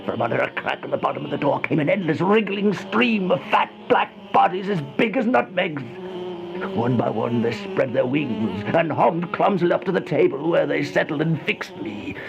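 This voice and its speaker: A man's voice: fairly high pitch, expressive, creaky, a crowd in the background. Terrified old man, gasping and theatrical. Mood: tense dread. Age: older. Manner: theatrical, halting gasps and cries. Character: terrified old man recounting a deadly plague of flies. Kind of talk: old-time radio horror monologue. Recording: dull vintage radio recording with music.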